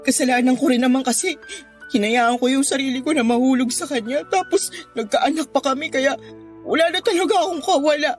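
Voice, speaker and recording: A woman's voice: high-pitched, a little fast, soft background music, natural voice. A woman playing a weary young mother. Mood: resigned. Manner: tired, plaintive, complaining. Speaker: radio drama actor. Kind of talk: Tagalog radio drama acting.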